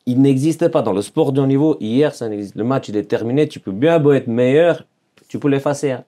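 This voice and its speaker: A man's voice: mid-range voice, brisk, dark tone. A man in his forties from Serbia with a Serbian accent, telling his life story as a podcast guest in earnest French, searching for words and repeating phrases. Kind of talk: personal storytelling in an interview.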